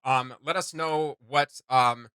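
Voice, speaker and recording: A man hosting a reaction video with casual, excited commentary, speaking in an emphatic, slangy, exclamatory way. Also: medium pitch, slow, clean tone, no room sound, clean studio sound. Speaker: reaction channel host. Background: music.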